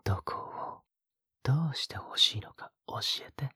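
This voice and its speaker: An actor playing a possessive, doting young man in an intimate audio drama, murmuring in a soft, coaxing, teasing whisper. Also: mid-range voice, lively intonation, normal speed, slightly rough, no echo, studio quality, heavily acted.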